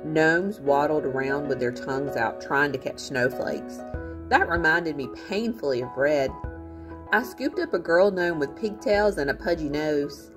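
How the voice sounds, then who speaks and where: A woman reading a storybook aloud in an animated, expressive narrating style. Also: sits low, unhurried, over music. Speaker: teacher reading a book aloud.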